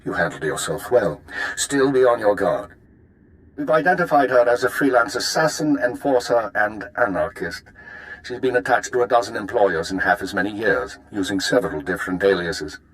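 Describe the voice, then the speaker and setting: An actor playing a composed, exacting spymaster who gives measured, precise mission briefings in a video game. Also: male voice, on the low side, animated, close to an everyday voice, poor audio. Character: composed male intelligence handler, formal and exacting.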